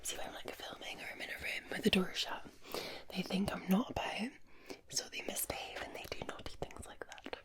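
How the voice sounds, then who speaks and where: A woman with a Scottish accent, speaking in a soft whisper in a gentle, chatty and soothing ASMR role-play. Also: medium pitch, fairly even intonation, fairly quick. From Scotland. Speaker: ASMR creator.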